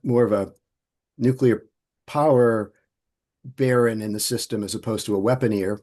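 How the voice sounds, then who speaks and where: A man interviewing for an oral history project, thoughtful and halting, often restarting his sentences. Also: medium pitch, fairly even intonation, mellow.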